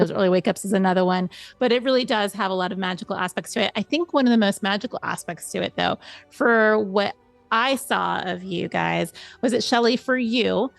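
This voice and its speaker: A woman podcast host in a conversational interview. Her voice sits at a middle pitch and is recorded close and dry. She is chatty and encouraging, spinning out long, wordy questions. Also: creaky, no room sound.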